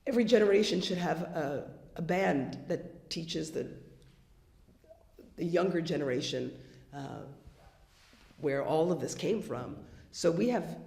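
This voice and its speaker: Gravelly, hoarse American woman. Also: deep voice, a little slow, long pauses, darkish tone. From United States. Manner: chatty storyteller, many fillers and restarts. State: hoarse, losing her voice. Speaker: band member. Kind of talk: casual interview chat. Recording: echoey room.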